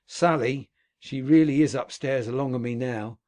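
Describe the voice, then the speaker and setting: A man's voice: medium pitch, moderate pace, a little mellow, close to an everyday voice. A man voicing a dying, terrified man who raves and pleads in panic. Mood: frantic terror. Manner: frantic, raving, pleading.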